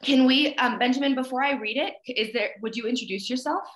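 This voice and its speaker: A woman's voice: sits high, brisk, fairly bright tone, reverberant. A woman in her thirties hosting a student poetry reading over a video call, with an encouraging manner. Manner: encouraging, praising. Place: plain indoor room, on a video call.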